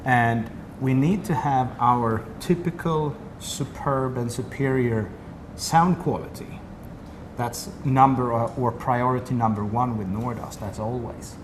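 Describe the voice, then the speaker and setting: A man's voice: medium pitch, measured pace. A man from Sweden with a Swedish accent, a company representative explaining a product in detail during an interview. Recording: noisy room ambience.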